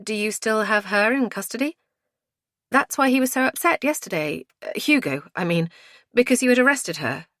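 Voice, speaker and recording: A woman playing a practical, no-nonsense mother in an audiobook, brisk, firm and a bit exasperated. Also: mid-range voice, expressive, a bit bright, dry recording, clean studio sound, voice pushed up. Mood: explaining.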